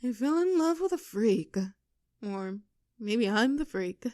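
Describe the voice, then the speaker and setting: A woman's voice: a little high, sing-song, darkish tone, no room sound, studio quality. A woman playing an affectionate, teasing girlfriend with a vulnerable streak in an intimate roleplay audio drama. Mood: playful, teasing. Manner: teasing, halting when earnest.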